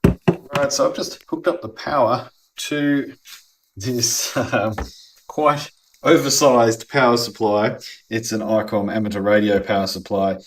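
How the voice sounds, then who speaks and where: A man's voice: fairly low pitch, fairly even intonation, unhurried, noisy background. An Australian man giving a casual hands-on product review in a laid-back, plain-spoken way, as if thinking aloud. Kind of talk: casual unboxing and review commentary.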